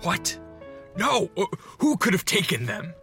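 A man's voice: high voice, sing-song, a little slow, sharp tone, soft background music, heavily acted. A man playing an anxious, intense male vampire in a radio drama. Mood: alarmed.

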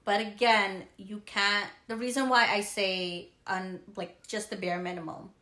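A woman in her thirties co-hosting a podcast, talking slowly and searching for words with restarts. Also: mid-range voice, steady tone, sharp tone. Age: 30s. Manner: slow, searching, piles up restarts. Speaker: podcast co-host. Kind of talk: casual chat. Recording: echoey room.

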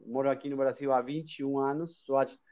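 A man's voice: mid-range voice, steady tone, a little fast, highs cut off. A man from Argentina with an Argentine accent, a Spanish speaker talking as a guest in a live online interview, talkative with many restarts and tag questions. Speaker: guest, hostel chain owner.

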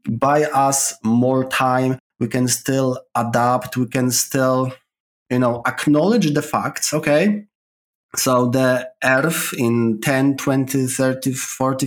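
Polish-accented man explaining ideas. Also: medium pitch. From Poland. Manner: thoughtful, explaining with examples. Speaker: podcast guest. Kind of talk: casual interview chat. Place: remote call.

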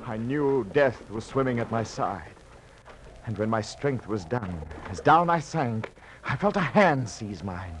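A man's voice: mid-range voice, expressive, unhurried, close to an everyday voice. An actor playing a haunted, guilt-ridden sailor telling of his doomed voyage in fervent, theatrical 1940s radio drama style. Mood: haunted, awed. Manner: theatrical, fervent, anguished declamation. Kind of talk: 1940s radio drama acting, verse-like narration. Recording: noisy old 1940s radio recording.

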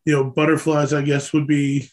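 Higher-voiced American man, casual interviewer. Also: flat delivery, moderate pace, a little mellow. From Pennsylvania, US. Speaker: interviewer, co-host.

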